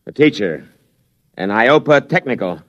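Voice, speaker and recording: Paranoid, wild-swinging feral prisoner. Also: male voice, medium pitch, animated, unhurried, a bit bright, no echo. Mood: menacing, wary. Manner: suspicious, threatening, erupting into manic laughter. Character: paranoid, violent male camp inmate gone half feral. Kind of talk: old-time radio acting. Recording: muffled old radio recording.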